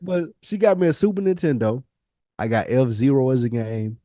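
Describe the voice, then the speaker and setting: A man's voice: mid-range voice, animated, normal speed, warm tone, no room sound, studio quality. A man in his forties telling personal stories in casual podcast chat, slangy and profane, repeating phrases for emphasis. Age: 40s. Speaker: podcast co-host.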